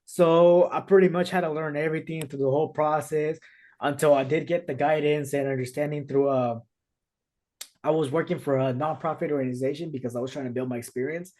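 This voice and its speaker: Podcast host, male, native Spanish speaker, higher-pitched, earnest and rambling delivery on a video call. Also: steady tone, a little fast, darkish tone. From United States. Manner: earnest storytelling, many restarts. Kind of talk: casual conversation.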